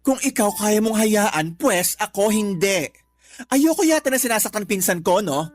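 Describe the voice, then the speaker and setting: An actress playing a sharp-tongued, loyal female cousin in a radio drama, sassy, indignant and emphatic. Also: medium pitch, expressive, normal speed, natural voice. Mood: protective, fiery. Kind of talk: Tagalog radio drama acting. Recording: music under the voice.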